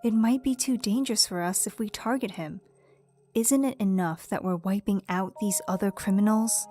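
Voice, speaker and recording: A woman's voice: medium pitch, moderate pace, warm tone, soft background music, natural voice. A woman playing a cautious, worried vigilante sister in an audio crime drama. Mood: cautious concern.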